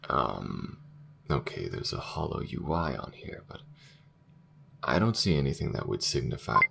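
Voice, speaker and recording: A man playing a brash, sweary space smuggler in a science fiction audio drama, talking in halting, emotive phrases. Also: a bass voice, big pitch swings, gravelly, noisy background, full character voice. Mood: casual.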